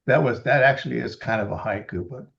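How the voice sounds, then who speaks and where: Gravelly older man reading poetry. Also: medium pitch, a bit bright, dull audio. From Los Angeles, USA. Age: 70s. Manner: reads verse slowly and deliberately, halting and chatty between poems. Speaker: poet reading his libretto. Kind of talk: poetry reading with casual asides.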